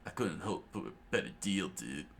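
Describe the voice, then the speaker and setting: A man voicing an easygoing, excitable teenage pirate boy with a laid-back surfer-dude drawl in an adventure story. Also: low-pitched, moderate pace, creaky. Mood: eager.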